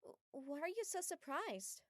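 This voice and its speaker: Anime dub heroine: virtuous, frank young woman voiced by an actress, earnest and gentle, slightly high pitched. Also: sing-song, unhurried, no room sound, studio quality, full character voice. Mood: offended. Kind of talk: anime-style fan dub acting.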